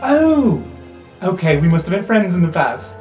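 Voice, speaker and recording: A woman's voice: fairly low pitch, very animated, normal speed, a little mellow, soft background music. A woman voicing a flustered, insistent young male rabbit-folk messenger who stammers earnestly in a tabletop game. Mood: nervous.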